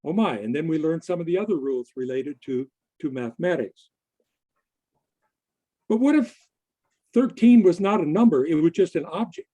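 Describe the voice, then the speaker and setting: A man giving a conversational presentation to a group in a halting, deliberate manner. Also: a little high, lively intonation, mellow. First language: English. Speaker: conference presenter. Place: online video meeting.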